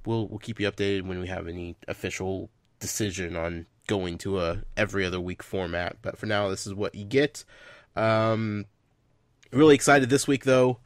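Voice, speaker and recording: A man's voice: fairly low pitch, fairly even intonation, normal speed, no echo, studio quality. A man hosting a casual podcast chat, stuffed up from a head cold, rambling with lots of fillers. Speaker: podcast host.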